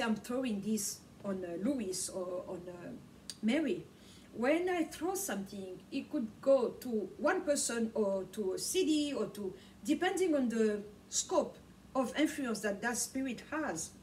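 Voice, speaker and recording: A woman's voice: a little high, a little mellow. Impassioned woman preaching from across a room. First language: Swahili. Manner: impassioned, repeats words for emphasis, gestures a lot. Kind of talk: preaching monologue to camera. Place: small home study with bookshelves, indoors. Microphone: camera mic from across the room. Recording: distant mic with faint room noise.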